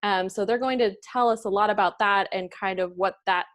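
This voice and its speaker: A woman's voice: mid-range voice, fairly even intonation, a little fast, fairly bright tone. An upbeat woman hosts a podcast interview. She is friendly and laughs easily as she guides the conversation. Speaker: podcast host, career services assistant director.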